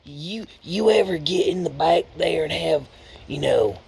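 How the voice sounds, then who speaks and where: A crude male cop acted out by a man in a horror story, pitched well up and loud. He rambles in a vulgar, taunting way over clear background noise. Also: lively intonation, measured pace. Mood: sleazy, teasing. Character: crude, sleazy, jeering male police officer. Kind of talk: amateur horror story voice acting.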